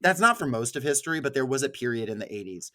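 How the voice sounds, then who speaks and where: A man's voice: medium pitch, lively intonation, quick pace, bright, forceful tone. Young adult American male, mid-range voice, informal rambling podcast co-host. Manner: rambling, informal anecdote telling. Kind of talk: casual podcast chat.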